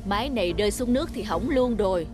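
A woman's voice: medium pitch, expressive, fast talker, over music, natural voice. A woman playing an exasperated, reproachful mother who scolds her children in a cartoon. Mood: exasperated, scolding. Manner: nagging, reproachful. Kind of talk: cartoon dub.

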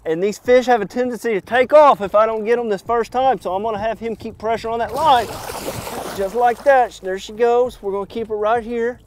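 A man in his thirties with a high voice explains each step like a working guide. He is outdoors on a boat, with faint wind and water noise around him. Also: steady tone, brisk. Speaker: fishing guide. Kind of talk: hands-on instructional demonstration.

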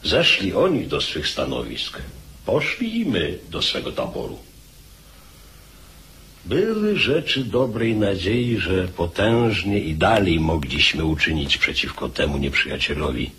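An actor playing a grave, proud old army commander in a radio drama, speaking solemn, measured, archaic lines. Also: male voice, low-pitched, very animated, a bit bright, a little hiss or hum, exaggerated voice. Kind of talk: radio drama acting, archaic formal speech.

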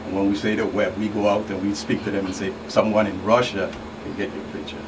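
A man's voice: fairly low pitch, little pitch movement, fast talker. A middle-aged man giving advice as a law enforcement guest on a talk show, in short emphatic phrases. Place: small radio studio. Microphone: studio microphone. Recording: distant mic, clearly noisy room hum.

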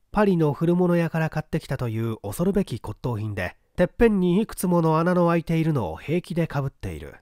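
A man's voice: mid-range voice, a little mellow, no echo, clean studio sound. A man reading mystery fiction as scripted, theatrical audiobook narration. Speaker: audiobook reader, stage actor.

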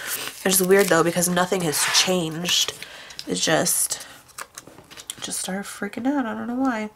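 A woman's voice: on the low side, unhurried, creaky, background noise. A young woman with a stuffy, nasal voice, rambling in casual chat while she decorates a planner at her desk. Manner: rambling, chatty, with many fillers. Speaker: planner vlogger. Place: at a desk indoors, hands on a planner.

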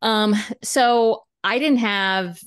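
A woman's voice: a little high, very slow, fairly bright tone, smooth, no room sound. Chatty woman guest, dry close mic. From United States. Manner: chatty, laughs often, many restarts. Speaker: podcast guest, chicken educator. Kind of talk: casual interview storytelling. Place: home room with bookshelves. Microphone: studio mic on video call.

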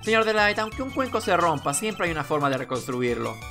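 A man's voice: fairly high pitch, very animated, a little fast, over music. A man voicing an earnest, reassuring young defense lawyer in a game.

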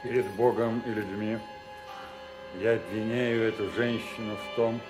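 An actor playing an indignant, bereaved lord who accuses formally and emphatically in a radio drama. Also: male voice, fairly low pitch, fairly even intonation, unhurried, over music, natural voice. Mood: accusing, indignant. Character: indignant English lord accusing his brother's murderer. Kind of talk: 1990s Russian radio drama acting.

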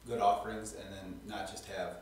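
A middle-aged man, a restaurant co-owner, answering interview questions in a halting way with many fillers. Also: lowish voice, level tone, distant mic, slight background noise. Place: small studio on a couch. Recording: echoey room from off mic.

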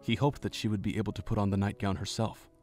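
A man narrating a fan audio drama in an even, measured reading voice. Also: lowish voice. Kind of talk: scripted story narration. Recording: home narration with faint music.

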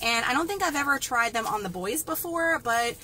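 A woman's voice: a little high, expressive, fairly quick, bright, forceful tone. A woman, chatting upbeat to viewers as she walks through store deals, then films from a parked car. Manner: upbeat, talks straight to viewers with "y'all" and "guys". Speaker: couponing vlogger. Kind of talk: casual chatty deal walkthrough. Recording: noisy store and car background.

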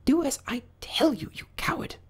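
A storybook rabbit master, very high and wildly swooping. He is fussy, imperious and quick to scold, barking out his orders with exclamations. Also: huge pitch swings, full character voice. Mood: indignant, scolding.

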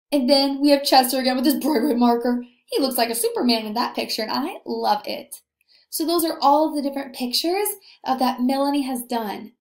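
High-pitched young woman teaching small children. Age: young adult. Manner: animated, encouraging, asks questions and pauses for answers. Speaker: early elementary teacher. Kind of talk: read-aloud video lesson for young children. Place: indoor room, echoey. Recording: echoey room.

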